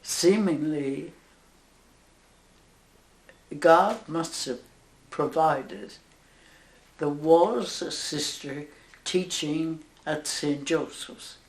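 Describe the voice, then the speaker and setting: A woman over a hundred years old with a Northern Irish accent, a nun slowly recalling her life in an oral history interview, halting and with soft laughs. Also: sits low, roomy sound, low-quality recording. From Northern Ireland. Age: over 100.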